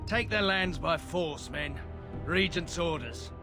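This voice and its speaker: Commanding soldier, creaky rasp, higher pitch, authoritative, fantasy video game, music behind. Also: male voice, lively intonation, slow pace. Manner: authoritative, demanding. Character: hard, commanding male soldier enforcing orders.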